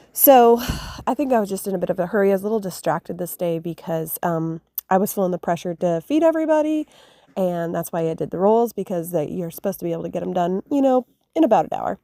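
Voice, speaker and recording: A woman's voice: on the low side, warm tone, dry recording, clean studio sound. Chatty homesteading woman with a cold. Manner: chatty and rambling, with many fillers and restarts. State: getting over a cold, voice a little off. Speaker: homestead vlogger. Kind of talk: casual cooking vlog narration. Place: home kitchen.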